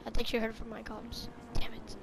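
An excitable, slangy player chatting casually in character during a crime roleplay game. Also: mid-range voice, brisk. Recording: clearly noisy game audio with vehicle sounds. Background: game vehicle and street noise.

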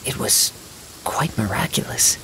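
A man voicing a gentle, serene young wandering poet swordsman in a game, with measured, formal, poetic phrasing. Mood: reflective, wistful. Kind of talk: scripted game dialogue, storytelling. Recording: steady rain noise behind voice.

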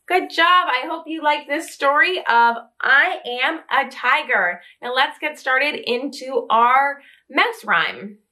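A woman's voice: high-pitched, expressive, slow, pushed and bright. A woman in her thirties hosting children's story time, reading a picture book expressively and asking the listener questions. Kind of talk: animated picture-book read-aloud for young children. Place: small curtained room indoors.

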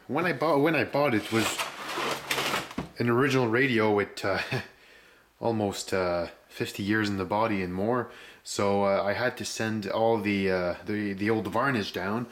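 A man giving a casual hands-on walkthrough, speaking haltingly as he searches for words, recorded indoors on a handheld camera. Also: fairly low pitch, a little hiss or hum. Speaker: hobbyist restorer presenting a rebuilt radio. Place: indoors, room with concrete floor. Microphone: handheld camera's microphone.

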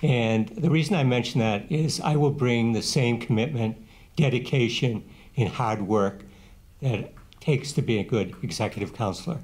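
A man in his seventies, a former councilor, giving a plain-spoken, steady closing statement at a candidate forum, seated at a table microphone in a small meeting room. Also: mid-range voice, normal speed. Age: 70s. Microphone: table microphone on a stand.

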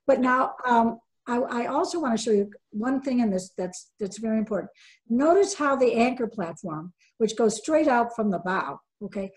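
A woman's voice: a little high, darkish tone. An older woman presenting a sailing webinar over a video call, emphatic and quizzing her audience, often repeating key words for stress.

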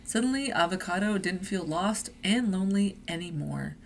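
Woman, playful read-aloud storyteller for kids, gravelly fry in the voice, slight noise behind. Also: lowish voice, moderate pace. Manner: expressive storytelling with chuckles and playful asides. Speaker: adult reader leading a children's read-aloud. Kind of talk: picture book read-aloud.

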